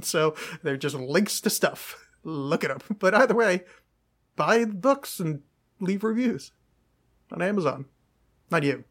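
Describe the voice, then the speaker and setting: A young man hosting a casual podcast chat, rambling and self-mocking, swearing and laughing at his own jokes. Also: on the high side. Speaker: podcast host.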